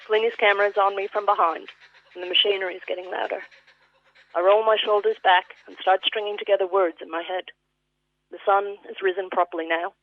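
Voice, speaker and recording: A woman's voice: medium pitch, steady tone, fairly quick, dry recording. A woman with an Australian accent reading a personal eulogy as vivid literary storytelling. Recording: thin phone-line sound.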